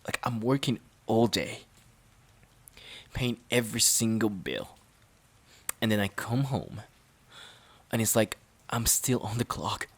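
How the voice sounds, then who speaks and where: A man playing a resentful, overworked boyfriend in an emotional audio roleplay, halting and sighing as he repeats himself. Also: lowish voice, lively intonation, husky, heavily acted. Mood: weary resentment. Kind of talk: emotional audio roleplay, intimate dramatic monologue.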